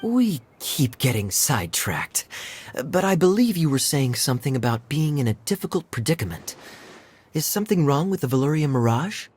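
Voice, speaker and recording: A woman playing a composed, tactful adult companion in a video game, polite and measured. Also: low voice, big pitch swings, a little mellow, slight background noise. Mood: concerned. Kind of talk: video game cutscene voice acting.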